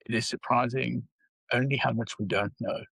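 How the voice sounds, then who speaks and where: An older man with a South African accent, speaking as an interview guest in a measured way with frequent pauses. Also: mid-range voice, fairly even intonation, creaky, a bit bright, dry recording, low-quality recording. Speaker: guest psychology researcher. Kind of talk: interview conversation.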